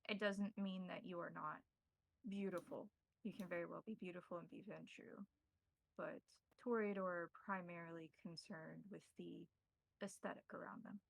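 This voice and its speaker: A woman's voice: lowish voice, steady tone, creaky, no echo, poor audio. A woman voicing a courtly, devout vampire priest who tutors newcomers formally in a tabletop game. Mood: solemn, instructive. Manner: formal, measured, instructive.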